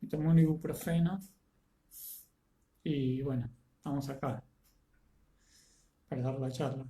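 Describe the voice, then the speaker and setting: Casting: Rioplatense Spanish man, teacher with a cold, slow and unhurried, echoey room. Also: mid-range voice, fairly even intonation, dark tone. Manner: greets attendees by name, halting with many fillers. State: congested with a cold and fever. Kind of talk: live online lecture.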